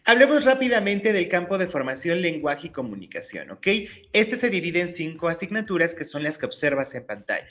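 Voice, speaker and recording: A man, hosting his own channel and clearly explaining teacher-exam study material in an upbeat, explanatory way. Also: fairly high pitch, sing-song, a little fast, few pauses, fairly bright tone. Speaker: YouTube channel host, teacher-exam tutor. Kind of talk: educational explainer reading curriculum text.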